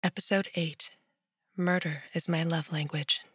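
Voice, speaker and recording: Low-voiced woman announcer, dry sound. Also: fairly even intonation, measured pace, breathy edge, no echo. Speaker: show announcer. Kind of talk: scripted intro and content advisory.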